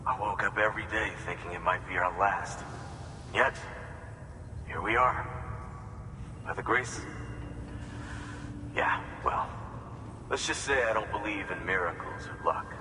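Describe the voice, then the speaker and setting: A grateful, worn survivor, with a very low voice. He talks earnestly and haltingly. The space rings like a big hall, with clear noise behind. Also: pushed and bright. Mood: solemn conviction. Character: grateful, weary male city survivor rebuilding with hope. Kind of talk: dramatic game cutscene acting.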